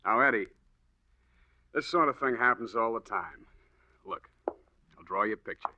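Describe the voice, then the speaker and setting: Sharp, hard-boiled police detective. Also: male voice, mid-range voice, normal speed, dry recording. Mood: sardonic. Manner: blunt, sardonic, dismissive. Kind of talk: 1940s radio acting. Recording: dull, boxy old radio recording.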